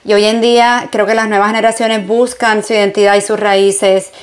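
A woman's voice: mid-range voice, flat delivery, moderate pace. A woman from Puerto Rico with a Puerto Rican accent, a journalist answering interview questions in a reflective, conversational way, mixing in English phrases. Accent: Puerto Rican Spanish. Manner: reflective, mixes in English phrases, frequent fillers. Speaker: journalist and entrepreneur, guest.

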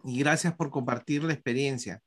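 A man's voice: mid-range voice, moderate pace, a bit bright. A man hosting a podcast panel over a video call, courteously thanking guests and steering the talk. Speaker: podcast host.

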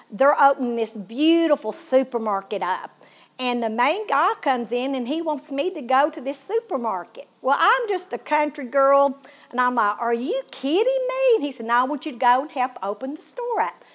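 A woman with a Southern Tennessee accent speaks with earnest, heartfelt feeling as she shares her life story. Her voice sits fairly high, and it drops to a soft hush when she reaches the painful parts. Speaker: show host. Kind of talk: personal testimony talk.

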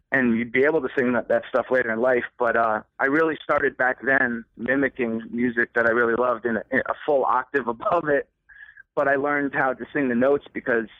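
A man's voice: mid-range voice, no echo. A man musician answering interview questions in a rambling, casual way with lots of fillers. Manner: rambling, many fillers and restarts. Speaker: musician guest. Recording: tinny phone line.

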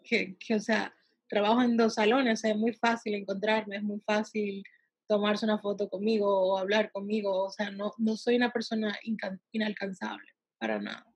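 A woman from the United States giving conversational interview answers, pausing often as she searches for words. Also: mid-range voice, breathy edge, no echo, poor audio. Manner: halting, searching for words with drawn-out pauses. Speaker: interviewee, salon worker and empowerment advocate for girls.

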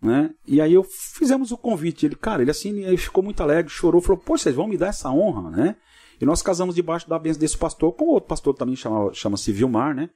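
A man's voice: on the high side, animated, fast talker, warm tone, slightly rough. A middle-aged man with a São Paulo state Brazilian accent, telling stories informally as an interview guest, often adding little tag questions. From Cubatão, São Paulo coast, Brazil. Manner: peppers talk with tag questions. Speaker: pastor, podcast guest.

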